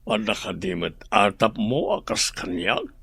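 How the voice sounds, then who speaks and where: A man's voice: fairly low pitch, animated, measured pace, creaky, sharp tone, breathy edge, full character voice. An actor playing a bitter man recovering in hospital, speaking in a grim, resentful way in a radio drama.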